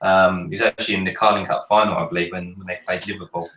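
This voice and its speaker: A man with a croaky, cold-hoarsened voice, chatting casually with many ums on a fan podcast. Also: deep voice, steady tone, fast talker, sharp tone, reverberant, distant mic, a little hiss or hum, poor audio. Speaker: podcast panelist. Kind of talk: casual chat.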